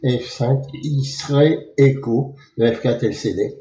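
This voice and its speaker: A man working as an amateur radio operator, speaking casually and spelling out call signs in a measured way. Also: medium pitch, mellow, echoey room, dull audio. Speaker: amateur radio operator filming for his channel.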